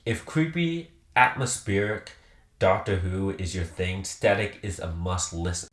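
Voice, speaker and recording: A man's voice: deep voice, a little slow, a bit bright, echoey room. A man presenting a scripted countdown review with emphatic, enthusiastic delivery. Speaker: host presenting a ranked countdown.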